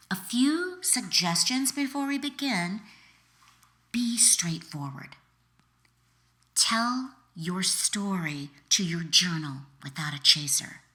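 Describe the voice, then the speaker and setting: Bright, slow-paced woman reading self-help. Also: fairly low pitch. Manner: deliberate, with pauses between short phrases; direct second-person address, encouraging. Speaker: author reading an excerpt from their own book. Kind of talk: audiobook-style scripted narration of self-help book.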